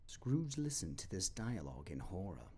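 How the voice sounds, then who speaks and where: Low, mellow, expressive male storyteller. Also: moderate pace, slightly rough. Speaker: narrator. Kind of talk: dramatic scripted storybook narration.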